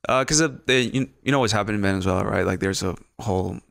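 A man's voice: on the low side, fast talker, gravelly, a bit bright, no room sound. A young man from Mexico with a Mexican accent, chatting casually as a podcast guest, close on a studio mic. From Ciudad Juarez, Mexico. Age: young adult. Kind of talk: casual chat. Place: small podcast studio, indoors. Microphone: close studio microphone, headphones.

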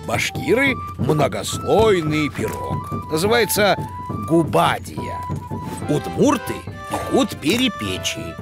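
A man voicing a kindly cartoon animal who explains things in an expressive, educational storytelling manner. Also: on the high side, slow pace, gravelly, music in the background, full character voice. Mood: explaining.

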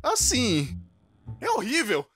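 A young man with a Brazilian accent narrating a scripted comic story in an exaggerated, expressive way. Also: very high-pitched, takes it slowly, faint music behind. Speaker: dubber narrating an animated story.

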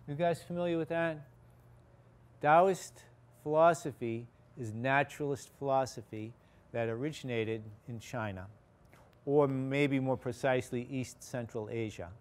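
A man's voice: medium pitch, unhurried. Older boston guy giving a campus talk, rambly, lots of um and restarts, big lecture hall. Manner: rambling storyteller, many restarts and fillers. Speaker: guest lecturer, acupuncturist. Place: large lecture hall with audience.

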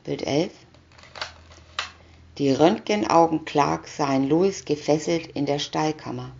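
Low, slow, creaky woman narrator. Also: darkish tone. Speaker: reader of picture-story booklets. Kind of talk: scripted narration of a children's comic story. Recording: dull lo-fi recording.

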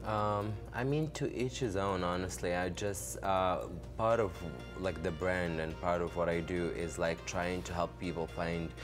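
A young man from Morocco speaks in a very low voice as a fashion designer guest on a studio couch. He answers in a relaxed, casual way, leaning on "like" fillers, into a desk mic while music plays clearly underneath. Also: moderate pace, hardly any pauses. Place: studio set, seated on a couch. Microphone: desk microphone on a stand.

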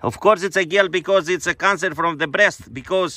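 A middle-aged man with a Greek accent, filming an animal rescue outdoors on his phone, speaking slow, shocked, emphatic English. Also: high voice, steady tone, pushed and bright. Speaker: animal shelter founder. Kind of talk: on-location rescue commentary. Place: outdoors on a gravel lot in hot sun. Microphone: phone camera held in hand. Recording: noisy outdoor phone audio.

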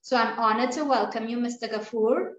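Woman host on a zoom call, a bit high, echoey muffled room, faint hiss. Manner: measured and formal. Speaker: meeting host. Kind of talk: formal guest introduction. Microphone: video call.

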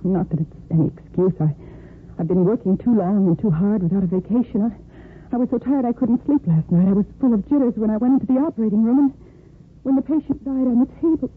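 An actress playing an exhausted, guilt-ridden young surgical nurse in a 1950s radio soap, halting and earnest. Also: female voice, medium pitch, husky. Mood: anguished, guilty. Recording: muffled, noisy 1950s radio recording.

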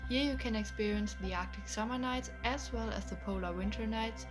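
A woman's voice: fairly high pitch, a little fast. Young female student narrator, teens, scripted promotional read, music very prominent. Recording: loud music under voice.

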